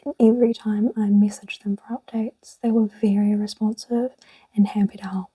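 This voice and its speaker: A woman's voice: medium pitch, flat delivery, moderate pace, warm tone, breathy edge, no room sound, rough recording. A young woman whispering in a rambling, chatty way for an ASMR video, close to the mic. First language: English. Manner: rambling and chatty, with little laughs. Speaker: ASMR channel host.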